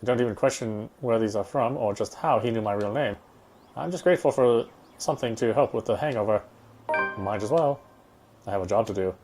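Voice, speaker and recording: A man's voice: fairly low pitch, fairly quick, music in the background. A man reading visual novel narration aloud, sometimes stumbling and going back over phrases. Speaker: game streamer reading aloud.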